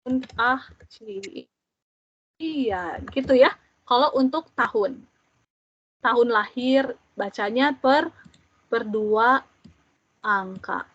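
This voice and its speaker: A young woman in her twenties teaching, explaining step by step and slowly repeating each example for learners. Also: fairly high pitch, a bit bright, no echo. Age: 20s. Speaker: German language teacher. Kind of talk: slow, patient classroom explanation. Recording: dull, dry lo-fi audio.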